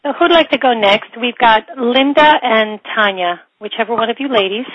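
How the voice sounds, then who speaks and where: A woman's voice: fairly high pitch, moderate pace, no echo, highs cut off. A woman facilitating an online group call, inviting members to speak in turn. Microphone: video call.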